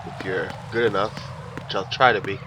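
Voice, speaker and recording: A man giving low-key, halting, explanatory commentary as he plays a video game. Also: steady tone, heavy background noise. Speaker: let's play host. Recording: loud game music behind commentary.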